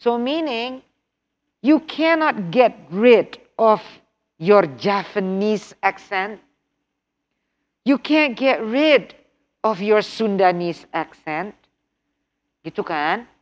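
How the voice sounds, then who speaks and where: A middle-aged woman with an Indonesian accent leads an online test-prep class at an unhurried pace. She mixes English and Indonesian, drills key words by repeating them and keeps asking her students questions. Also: medium pitch, expressive. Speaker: TOEFL instructor. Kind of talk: online workshop lesson.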